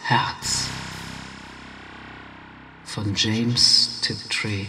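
An actor as a title announcer, giving a dramatic announcement for a radio play. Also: male voice, on the low side, flat delivery, extremely slow, bright, forceful tone, full character voice. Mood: solemn. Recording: distant, echoey hall reverb, faint music.

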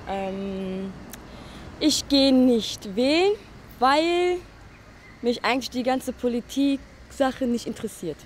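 A woman's voice: fairly high pitch, expressive, slow pace. A young woman answering a street interview outdoors, hesitant and unsure, giving short answers. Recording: faint outdoor traffic noise.

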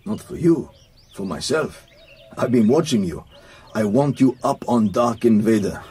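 An actor playing a proud, rich racehorse owner in a radio play, husky, formal and assertive. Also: male voice, mid-range voice, expressive, a little slow, mellow. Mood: firm. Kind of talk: radio drama acting.

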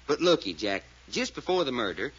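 An excitable, skeptical detective sidekick from an old radio drama. His Southern drawl is folksy and swings widely in pitch, with a husky edge. The recording is dull and aged. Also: a little fast, full character voice. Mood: puzzled. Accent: American Southern. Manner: folksy drawl, questioning, incredulous. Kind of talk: 1940s radio acting.

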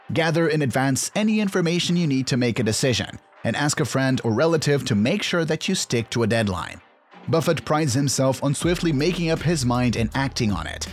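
A man reading a scripted explainer narration in a measured, instructional manner. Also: mid-range voice. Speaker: video narrator. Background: background music.

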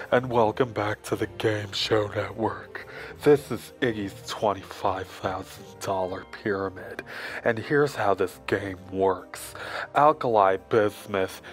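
A man narrating as a mock game show host, laying out the rules in an announcer manner. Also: on the low side. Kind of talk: scripted narration.

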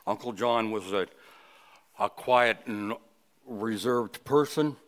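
Low-pitched older man giving a funeral sermon. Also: slow, fairly bright tone. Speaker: funeral officiant, preacher. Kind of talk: funeral sermon with personal reminiscence. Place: indoors at a funeral home, at a wooden lectern. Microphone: lectern microphone.

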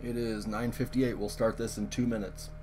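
A man with a hoarse, worn voice hosting a live card-opening stream, chatting loosely with viewers and using many fillers. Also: mid-range voice, normal speed, noisy background. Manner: relaxed, filler-heavy, talks to chat viewers. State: hoarse, lost his voice. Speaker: card break host.

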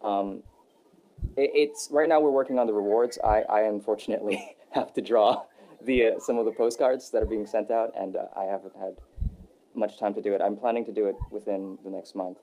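A young adult man answering interview questions in a relaxed, rambling way, with many fillers and restarts. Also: lowish voice, a little fast. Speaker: voice actor guest. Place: Texas.

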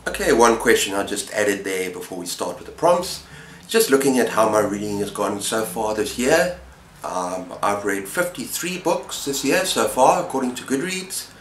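Casting: middle-aged man, lowish voice, informal video-host chat about books, recorded in a roomy indoor space. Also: lively intonation, normal speed, slight background noise. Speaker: booktuber host. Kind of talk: casual book-review vlog chat. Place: indoor room lined with bookshelves. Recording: roomy, echoey indoor sound.

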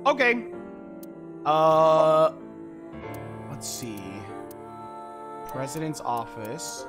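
A man's voice: fairly high pitch, very animated, drawn-out words. A man chatting casually as a livestreamer, slangy and full of swearing. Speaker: game streamer. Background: game music.

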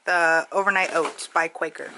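A laid-back woman chats about a shopping trip and snacks while helping sort a pantry. The sound comes from a handheld home video with a steady hum of background noise. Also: deep voice, moderate pace, gravelly. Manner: chatty, laid-back storytelling. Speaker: vlogger. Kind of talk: casual chat.

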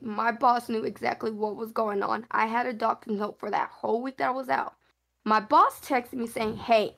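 Husky-voiced woman telling blunt stories. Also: on the high side, fairly bright tone. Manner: blunt, storytelling, swears freely. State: losing voice from illness. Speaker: game player. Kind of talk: casual chat.

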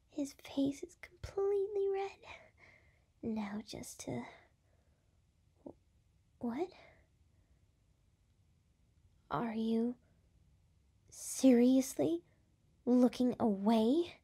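Woman acting a slow, breathy, brash young gal. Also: high-pitched, expressive, warm tone, exaggerated voice. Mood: exasperated. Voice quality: breathy, often dropping into whispers. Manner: halting, teasing, exasperated. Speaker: voice actress. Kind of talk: ASMR roleplay.

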